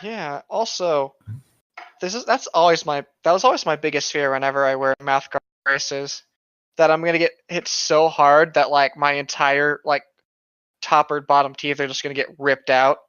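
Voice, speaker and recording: A man's voice: a little high, steady tone, normal speed, a bit bright, clean tone, no room sound, lo-fi sound. A man co-hosting, reading a story aloud with casual, sweary commentary and stopping to correct himself. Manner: sweary, self-correcting reader. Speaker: co-host.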